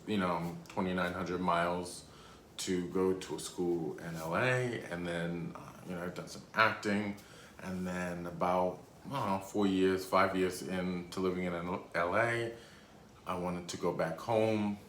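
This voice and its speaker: A man's voice: low voice, fairly even intonation, takes it slowly, fairly bright tone. A man in his thirties from Rhode Island, telling a casual, rambling storytime with many hesitations. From Rhode Island, USA. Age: 30s. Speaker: YouTuber. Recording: echoey room, faint background noise.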